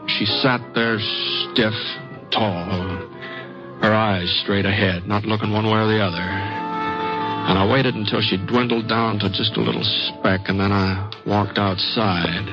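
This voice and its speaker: A man acting as a low-voiced American gunfighter from an old western radio play. He is mild and folksy, picking his words with an understated, hesitant drawl. The recording is dull and vintage, with music behind it. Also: fairly even intonation, a little slow, natural voice. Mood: reflective narration. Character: mild, reluctant middle-aged western gunfighter telling his tale. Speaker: actor and narrator.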